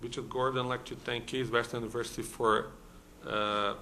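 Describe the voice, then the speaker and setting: A man's voice: medium pitch, flat delivery, brisk, vocal fry, pushed and bright, a little hiss or hum. A man from Brazil with a Brazilian Portuguese accent, a law professor speaking at a conference, hesitant, with many fillers and restarts. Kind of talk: conference talk. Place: conference hall.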